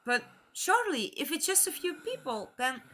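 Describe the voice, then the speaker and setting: A woman's voice: high-pitched, very animated, moderate pace, pushed and bright, heavily acted. A woman playing an earnest time traveller's companion in an audio drama, probing with concern and challenge. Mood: challenging.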